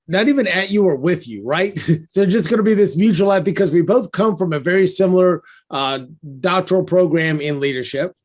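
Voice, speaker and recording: A man hosts a podcast, talking with his guest in a friendly, easygoing way and dropping in quick asides. His voice is pitched a little above most men's. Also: darkish tone. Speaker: podcast host. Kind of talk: casual interview hosting.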